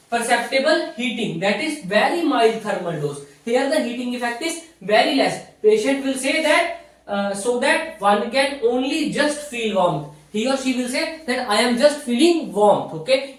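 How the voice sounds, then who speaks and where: A woman with an Indian accent giving an explanatory physiotherapy lecture in a didactic way, repeating key points with little checking tags. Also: a little high. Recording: very echoey room, distant mic.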